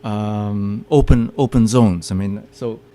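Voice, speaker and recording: A man's voice: lowish voice, steady tone, slow, dark tone. A man giving a public lecture with slides, often stopping to repeat his words as he explains. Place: meeting room with audience.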